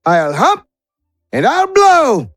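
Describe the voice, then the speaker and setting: A man puts on a sassy female humpback whale, exasperated and emphatic. The voice is pushed high and swoops about, as in a comic tabletop tale. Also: huge pitch swings, slow, no room sound, faint music behind, heavily acted. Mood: fed up.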